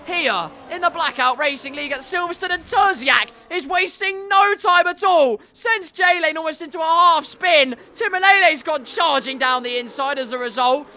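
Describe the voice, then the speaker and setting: A man with a stuffy, congested nose commentating a racing league, with wry asides that turn loud and excited when cars crash. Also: very high voice, normal speed, few pauses, slight background noise.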